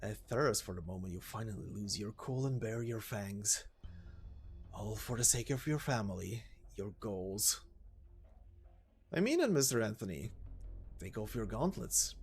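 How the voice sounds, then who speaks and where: A man voicing a sadistic, mock-polite gentleman villain in a game story, savoring his words in a theatrical, drawn-out delivery. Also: medium pitch, husky. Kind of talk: dramatic game story voice acting.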